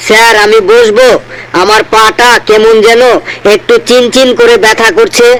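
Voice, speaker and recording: Guy doing a super high cartoon schoolkid, cheeky excuse-maker, bit of noise behind. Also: flat delivery, normal speed, a bit bright, poor audio. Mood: whiny, pleading. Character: cheeky male schoolboy full of silly excuses. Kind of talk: comedy cartoon dialogue.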